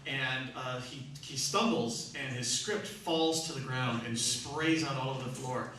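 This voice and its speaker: A man hosting an audience question session for a theatre troupe, telling anecdotes in an animated, joking way. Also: medium pitch, a little slow. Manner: animated, joking, often laughing. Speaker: radio theatre troupe founder and host. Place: live hall with an audience. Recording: echoey hall, distant mic, noisy.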